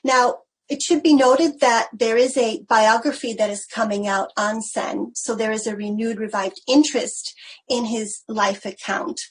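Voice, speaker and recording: A woman giving an academic lecture in an online webinar, speaking in a measured way with some hesitant fillers. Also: a little high, fairly even intonation, slightly rough, low-quality recording. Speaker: research fellow giving a webinar talk.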